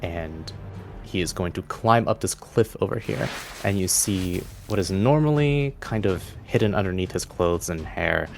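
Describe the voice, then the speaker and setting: Low-voiced man narrating a tabletop game. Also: measured pace, creaky. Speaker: game master. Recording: faint music behind the voice. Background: faint music.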